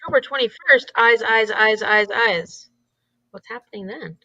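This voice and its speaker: Woman livestream cohost, bright giggly chatter, very dry close sound, bit lo-fi. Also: fairly high pitch, normal speed, no room sound. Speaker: livestream co-host. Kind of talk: casual group livestream chat.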